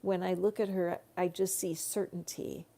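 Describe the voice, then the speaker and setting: A woman giving a live tarot reading in slow, soothing spiritual guidance, her voice growing tired. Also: fairly low pitch, little pitch movement, warm tone. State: tiring voice. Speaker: tarot reader.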